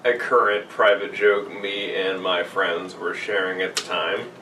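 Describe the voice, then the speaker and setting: A man's voice: sits low, level tone, a little slow, far from the mic. A man co-hosting a podcast, bantering casually with sarcastic asides and reading aloud. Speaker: podcast co-host. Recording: roomy echo, off-mic, faint noise.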